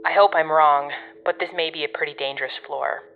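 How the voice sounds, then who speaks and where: Casting: actress for exasperated female handler in an audio drama, low-pitched, commanding and wry, heard through a narrow radio band. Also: fairly even intonation, a little fast, vocal fry, a little hiss or hum. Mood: wry, instructing. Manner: bossy, sardonic, swears. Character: gruff, exasperated, protective woman guiding a colleague over radio.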